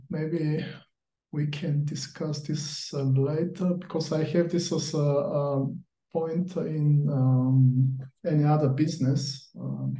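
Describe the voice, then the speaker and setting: Slow, hesitant man with dark, muffled timbre. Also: on the high side. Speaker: meeting chair. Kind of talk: work video call discussion. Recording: roomy echo.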